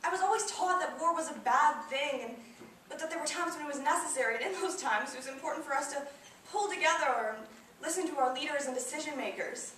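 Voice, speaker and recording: A woman plays a soldier's bereaved mother in a stage monologue. Her voice is fairly high, solemn and deliberate, moving in halting phrases. It comes from a distance in a very echoey hall. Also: close to an everyday voice. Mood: bitter, grieving. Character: grieving mother of a fallen soldier, plainspoken, steadfast. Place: indoor performance space, recorded from afar. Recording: very echoey hall, distant mic, faint noise.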